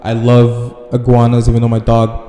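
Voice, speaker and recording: A man's voice: mid-range voice, moderate pace, faint music behind. Young man from Aruba, echoey room. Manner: relaxed storytelling, laughs often. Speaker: podcast guest. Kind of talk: casual chat. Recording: echoey room with background noise.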